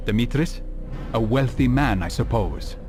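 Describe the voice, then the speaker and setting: A man playing a stern, measured, self-assured assassin in a video game. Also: mid-range voice, lively intonation, music in the background, natural voice. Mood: accusing. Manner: terse, measured, stoic.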